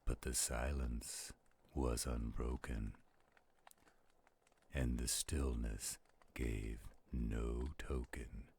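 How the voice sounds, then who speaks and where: A man voicing a slow-spoken werewolf who solemnly recites gothic poetry in an audio roleplay, with deliberate, gravelly delivery. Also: a bass voice, a little mellow, exaggerated voice. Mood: brooding, solemn. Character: slow-spoken male werewolf reading a poem by campfire.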